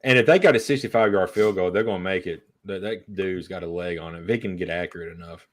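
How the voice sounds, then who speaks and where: A man co-hosting a podcast with a somewhat low voice. He talks in an eager, easygoing way, and he keeps to relaxed, casual chat. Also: fast talker. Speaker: podcast co-host.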